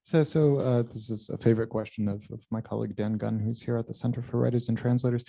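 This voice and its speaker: A man moderating an informal literary conversation, thinking aloud in a halting way as he puts his questions. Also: mid-range voice, fairly even intonation, creaky, warm tone. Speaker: interviewer, moderator. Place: live library event.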